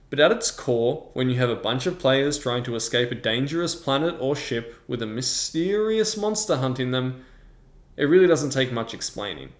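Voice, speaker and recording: A man presenting a scripted review as the host of a video. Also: mid-range voice. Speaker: tabletop game reviewer and host. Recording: roomy, echoing sound.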